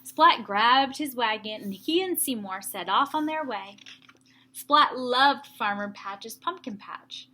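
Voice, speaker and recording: A woman teacher reading a story aloud to young children, with expressive emphasis and explanatory asides. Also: a little high, takes it slowly, a little hiss or hum.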